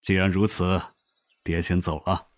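A man playing a calm, protective middle-aged royal father in an amateur Chinese costume audio drama, measured and reassuring. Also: deep voice.

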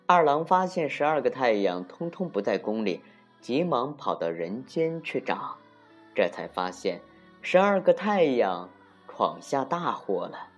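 A woman narrating a folktale for children as an expressive storyteller, deliberate and with dramatic emphasis. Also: rumbling low. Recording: muffled lo-fi recording with music.

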